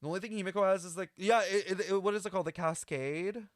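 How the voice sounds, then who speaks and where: A man giving casual, rambling reaction commentary in a chatty, slangy, excitable way. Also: sits high, fast, dry recording, clean studio sound. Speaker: reaction streamer.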